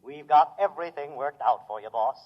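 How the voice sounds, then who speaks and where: An earnest, devoted robot servant, voiced by a man, in a highish voice with proud, reassuring deference. It rings with long reverb on a muffled old radio drama recording. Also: fairly quick, lightly acted. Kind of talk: 1950s radio drama acting.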